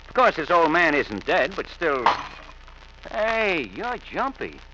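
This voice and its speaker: An actor playing a chatty, sympathetic, world-weary morgue attendant in a vintage radio thriller, soothing and folksy. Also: male voice, a little high, big pitch swings, slow. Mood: matter-of-fact. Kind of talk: 1940s-50s radio thriller acting. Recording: muffled, hissy vintage radio recording.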